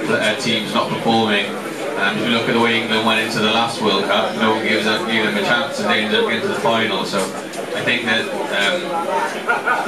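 Young man giving hesitant answers, flat voice. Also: on the low side. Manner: hesitant, many fillers. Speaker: rugby player guest. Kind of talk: answering interview questions. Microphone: handheld mic, far camera. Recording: roomy bar, distant camera, loud crowd.

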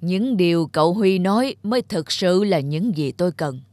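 A woman voicing a shrewd, calculating male factory director in a cartoon, speaking with measured, insinuating authority. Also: lowish voice, lively intonation, darkish tone, dry recording, faint music behind, natural voice. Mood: firm, serious. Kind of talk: cartoon voice acting.

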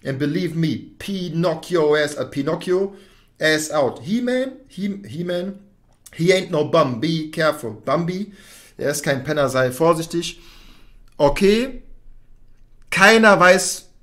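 A man performing a live battle rap round, rhythmic and punchy as he builds toward each payoff. His voice sits fairly high. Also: expressive, slow pace, fairly bright tone. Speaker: battle rapper.

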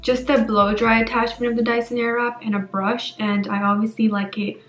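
A woman's voice: a little high, flat delivery, normal speed, fairly bright tone, soft background music. Chatty young woman vlogging in an echoey bedroom. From New York. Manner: chatty and candid, many fillers. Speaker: lifestyle vlogger. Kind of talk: casual vlog chat to camera. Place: bedroom indoors.

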